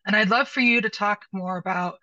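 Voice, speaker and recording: A woman's voice: medium pitch, fairly quick, pushed and bright, no echo, highs cut off. A woman in the audience asking a question, informal and candid.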